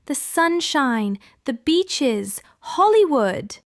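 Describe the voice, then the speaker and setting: A young woman from California co-hosting an English-learning podcast, speaking clearly like a teacher and laughing often. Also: sits high, very animated, drawn-out words, no echo, clean studio sound. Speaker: podcast co-host.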